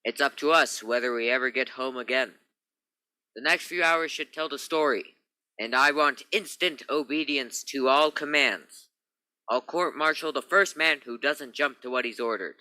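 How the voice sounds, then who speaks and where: A man's voice: mid-range voice, moderate pace, pushed and bright, dry recording, low-quality recording. A man playing a stern naval spaceship captain in a radio drama, giving clipped, authoritative orders. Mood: stern, grave. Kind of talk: old-time radio drama acting.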